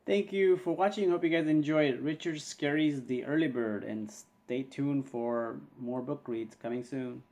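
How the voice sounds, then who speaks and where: A man's voice: mid-range voice, measured pace, hardly any pauses, a little mellow. A man speaking casually to viewers as a presenter of an online channel.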